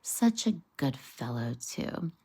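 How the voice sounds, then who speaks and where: A jocular, blustery otter gentleman from a storybook, acted out by a woman reader. He gossips breezily and with good humor, in a mellow voice. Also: very deep voice, big pitch swings, slow, husky, heavily acted. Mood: gossipy.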